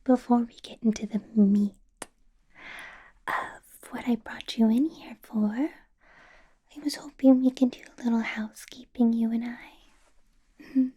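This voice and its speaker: A woman's voice: a little high, fairly even intonation, measured pace, pauses often, mellow, husky. Woman whispering as a teasing vampire boss. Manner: whispered throughout, teasing, menacing, playful laughs. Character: centuries-old female vampire boss, teasing, possessive. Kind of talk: ASMR roleplay.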